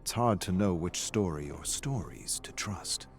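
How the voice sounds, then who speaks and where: A man reading scripted journal-style lore entries as a measured, serious storyteller. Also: sits low, animated, loud music behind the voice. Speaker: reader of game lore entries. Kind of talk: scripted narration, journal entries read aloud.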